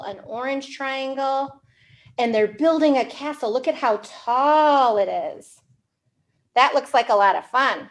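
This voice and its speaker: A woman hosting a storytime for young children, reading aloud in an animated way with simple, exaggerated, encouraging questions. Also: high voice. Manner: slow, simple wording for English learners. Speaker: children's storytime librarian host.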